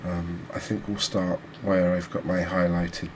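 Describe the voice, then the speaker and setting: A soft-spoken man giving relaxed, unhurried ASMR commentary on a video game, speaking close to the mic. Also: sits low. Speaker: gaming video creator. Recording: clear background noise, ambience.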